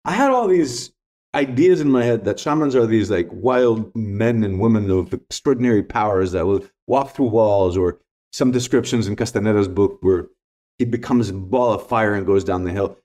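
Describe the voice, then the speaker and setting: Mellow-voiced man, native Greek speaker. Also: fairly low pitch, moderate pace. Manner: earnest, emphatic, repeats key points. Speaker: podcast guest. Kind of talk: reflective conversational storytelling.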